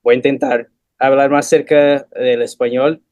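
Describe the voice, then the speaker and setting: A man's voice: medium pitch, level tone, a little mellow, no room sound. A man whose first language is Portuguese, reading a prepared lecture in Spanish with a Portuguese accent over a video call. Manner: measured, questioning delivery in Spanish mixed with Portuguese. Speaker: guest lecturer.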